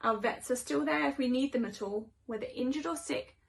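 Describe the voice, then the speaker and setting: Opinionated house cat for rhyming storybook, played by a woman: high voice, conversational, gossipy, expressive. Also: a little fast, vocal fry. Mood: musing. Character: chatty, opinionated house cats gossiping about their humans.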